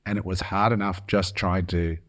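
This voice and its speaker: A man's voice: sits low, flat delivery, normal speed. An Australian man hosting an interview podcast, enthusiastic and affirming, a bit sniffly from hay fever. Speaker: podcast host. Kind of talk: interview conversation.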